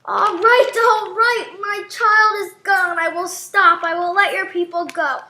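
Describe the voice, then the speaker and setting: Echoey puppet-show Pharaoh, male ruler played by a woman: high, bright, pleading and stubborn. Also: fairly even intonation, unhurried, full character voice. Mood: panicked.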